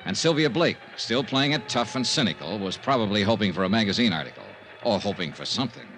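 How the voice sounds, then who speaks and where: An actor playing a hard-boiled, dryly wisecracking investigator in an old-time radio mystery. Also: male voice, lowish voice, lively intonation, fast, a bit bright, slight background noise, poor audio. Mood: wry, brooding. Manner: dry, wisecracking. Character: wry, hard-boiled male investigator narrating his case. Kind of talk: 1950s radio detective acting and narration.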